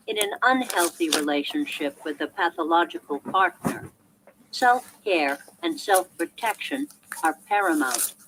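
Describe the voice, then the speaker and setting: A woman reading a self-help audiobook in a measured, clearly articulated and emphatic way. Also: fairly low pitch, a little hiss or hum, rough recording. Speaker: audiobook narrator.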